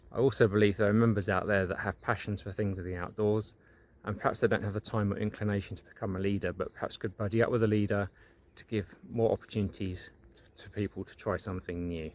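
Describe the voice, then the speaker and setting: Low-voiced man reading a prepared pitch. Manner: steady, prepared delivery. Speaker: trustee candidate. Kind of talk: recorded manifesto video.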